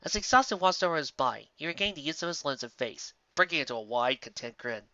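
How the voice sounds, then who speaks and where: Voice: mid-range voice, lively intonation, quick pace, sharp tone, no echo, lo-fi sound. A narrator reading a scripted story expressively. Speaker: narrator, fan fiction reader.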